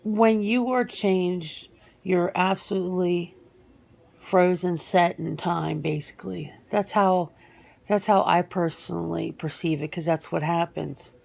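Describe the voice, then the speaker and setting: A woman playing a guarded, earnest werewolf answering interview questions in an ASMR roleplay, speaking haltingly and matter-of-factly. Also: lowish voice, fairly even intonation, gravelly, a little mellow. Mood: matter-of-fact, reflective. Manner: hesitant, matter-of-fact, halting with pauses.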